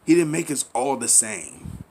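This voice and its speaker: A man's voice: medium pitch, expressive, unhurried, warm tone, a little hiss or hum. Preachy man delivering a rambling monologue. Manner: preachy, repeats himself, pleading with listeners; rhetorical questions, casual slang. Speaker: commentary channel host. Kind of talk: impassioned monologue.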